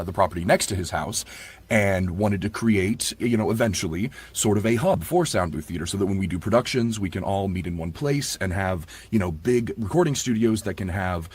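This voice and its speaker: A man's voice: fairly low pitch, husky. A man chatting casually and enthusiastically as a guest in an interview. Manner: effusive, enthusiastic. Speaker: audiobook narrator and guest.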